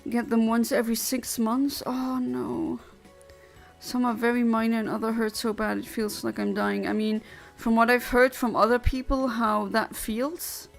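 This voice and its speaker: Woman, gravelly fry, blunt and literal, casual streamer chat. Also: on the high side, flat delivery, moderate pace, faint music behind. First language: Luxembourgish. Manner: blunt, literal and chatty, with many restarts. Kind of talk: casual chat answering a livestream chat.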